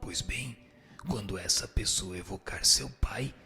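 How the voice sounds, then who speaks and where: Solemn spirit instructor for radio drama, male actor: low, gravelly, didactic and declamatory. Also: unhurried, bright, forceful tone, full character voice. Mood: solemn, instructive. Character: solemn spirit instructor answering questions patiently. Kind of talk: scripted radio drama dialogue. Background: music.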